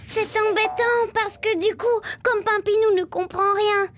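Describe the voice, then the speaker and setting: A woman voicing a lively little girl who tells a cartoon story in a childlike, chatty, playful way. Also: extremely high pitch, unhurried, a little hiss or hum. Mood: playful storytelling. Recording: loud music behind.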